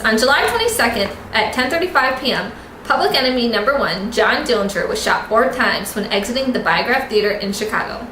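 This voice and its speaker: A woman's voice: a little high, animated, fairly quick, bright, forceful tone. A woman reading a scripted report as a reporter in a mock 1930s newscast. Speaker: news reporter. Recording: echoey room with clear noise.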